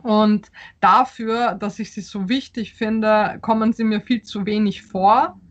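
A woman's voice: mid-range voice, fairly even intonation, measured pace, lo-fi sound. A woman who grew up speaking Polish first, an author answering interview questions thoughtfully, with frequent fillers and restarts.

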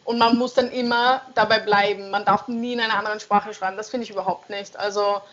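A woman's voice: mid-range voice, steady tone, fast talker, fairly bright tone. A young woman songwriter answering interview questions casually, hesitant and searching for words, with frequent laughs. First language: German. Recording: dull lo-fi sound.

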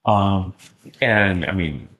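A man explaining in a lecturing way in conversation, often pausing with ums and restarting his sentences. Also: deep voice, fairly bright tone. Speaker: interview guest.

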